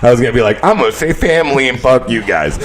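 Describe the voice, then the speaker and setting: A man's voice: medium pitch, animated, quick pace, over a noisy crowd. A man hosting a casual radio talk show, swearing freely and laughing often in crude banter.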